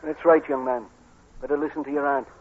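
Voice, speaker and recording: A man's voice: medium pitch, little pitch movement, a little fast, lightly acted. An actor playing a folksy, easygoing policeman in a 1940s radio drama, informal and reassuring. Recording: tinny, noisy 1940s radio recording.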